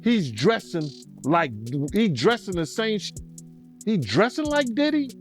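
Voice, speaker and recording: A man's voice: high-pitched, very animated, unhurried, faint music behind. A man gives casual commentary, slangy and emphatic, repeating his points for effect.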